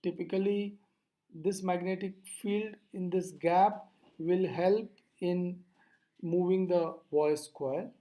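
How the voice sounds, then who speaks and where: Mellow-voiced physics lecturer. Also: medium pitch, steady tone, poor audio. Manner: didactic, deliberate, poses questions then answers them. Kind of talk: explanatory lecture over slides.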